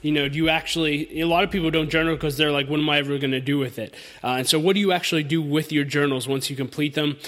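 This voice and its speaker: A man's voice: fairly high pitch, fairly even intonation, fast, hardly any pauses, fairly bright tone, big-room sound. A thirty-year-old man hosting a solo podcast, speaking informally and earnestly to listeners in an encouraging, conversational lesson style. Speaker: podcast host.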